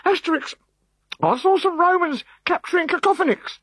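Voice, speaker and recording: A man's voice: extremely high pitch, expressive, moderate pace, fairly bright tone, no echo, voice pushed up. A man voicing an eager young Gaulish boy who stammers out of breath. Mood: breathless.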